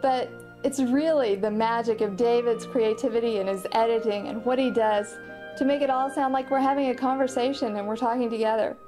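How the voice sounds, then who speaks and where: A woman's voice: fairly high pitch, steady tone, a little fast, dark tone, soft background music. A woman reminiscing in a documentary interview as a studio collaborator.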